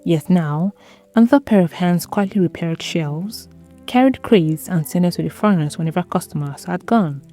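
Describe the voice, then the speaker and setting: A woman narrating a scripted dramatic story in a measured, solemn, literary manner. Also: fairly low pitch, warm tone, faint music behind.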